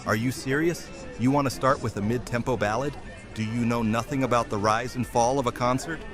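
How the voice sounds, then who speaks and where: A man's voice: medium pitch, fairly even intonation, a little fast, music in the background, lightly acted. A man acting an enthusiastic, theatrical amateur musician in an audio drama. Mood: mock indignant.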